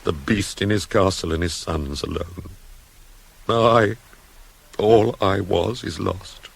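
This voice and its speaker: A man's voice: sits low, creaky, slightly rough, heavily acted. An actor playing a proud, widowed ancient Greek king in a radio play, declaiming tragic verse with grief and rage. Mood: hushed grief. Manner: declamatory, slow, heavily dramatic.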